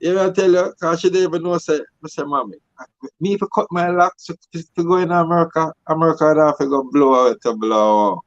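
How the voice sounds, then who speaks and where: Higher-voiced Jamaican man, casual storytelling. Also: fast talker, dry recording, dull audio.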